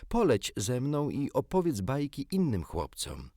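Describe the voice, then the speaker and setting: Give us a man acting a storybook boy: pitched up, lively, sly and coaxing, eager to win someone over. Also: unhurried, no echo, studio quality, heavily acted. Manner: sly, persuasive.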